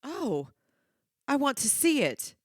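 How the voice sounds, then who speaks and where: A woman's voice: sits high, very animated, slow pace, exaggerated voice. A young woman playing a spirited, wry daughter in a radio play, with a proper theatrical delivery. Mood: wry, curious. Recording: echoey hall, faint background hiss.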